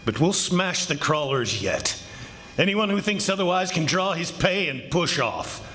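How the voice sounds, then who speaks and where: A man acts out a defiant plantation owner in an old-time radio play. His voice is fairly high and swings widely as he gives emphatic, confident orders. There is clear noise behind him on the live stage recording. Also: sing-song, unhurried, sharp tone, exaggerated voice. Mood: resolute. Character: defiant, commanding male plantation owner battling ants. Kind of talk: 1940s radio drama acting. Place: live stage performance at a college.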